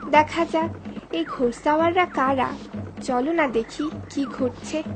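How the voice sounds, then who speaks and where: Female storyteller for a children's cartoon, high-pitched, scripted delivery. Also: measured pace, over music. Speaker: narrator.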